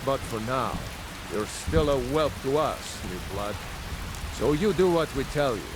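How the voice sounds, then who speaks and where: A man's voice: mid-range voice, lively intonation, unhurried, natural voice. A man playing a stern, disdainful warrior in a fantasy game, curt and haughty, recorded with noise behind him. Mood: condescending. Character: stern, disdainful male warrior, skeptical of newcomers. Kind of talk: fantasy video game acting. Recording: noisy, with rain and thunder.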